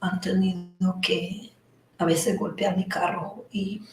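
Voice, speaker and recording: A woman with a Spanish accent, a guest who speaks haltingly and switches between English and Spanish. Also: fairly low pitch, level tone, reverberant, soft background music. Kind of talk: conversational interview.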